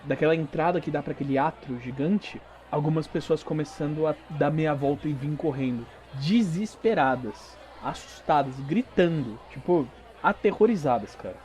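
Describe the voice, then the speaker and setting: A Brazilian man working as game master, narrating a tabletop RPG audio drama with dramatic pauses and informal asides. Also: a little high, animated, a little mellow. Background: background music.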